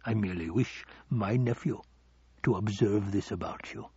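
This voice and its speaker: Audiobook villain, played by a man: scheming older nobleman, husky, slow, silkily taunting, dry recording. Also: fairly low pitch, darkish tone, no room sound, muffled recording. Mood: silky mockery. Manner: slow, drawn-out, taunting delivery.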